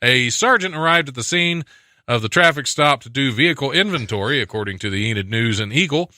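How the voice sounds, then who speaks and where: A man's voice: medium pitch, lively intonation, brisk, bright, forceful tone, dry recording, studio quality. A man hosting a radio talk show, chatting casually, reading odd news stories aloud and laughing often at his own jokes. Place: radio studio.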